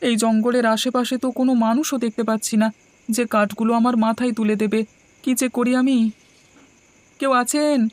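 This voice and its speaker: A woman's voice: on the high side, steady tone, normal speed, a little mellow, no room sound, close to an everyday voice. A woman voicing a meek, hardworking young brother in a cartoon, speaking politely and submissively. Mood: worried. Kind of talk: cartoon dubbing.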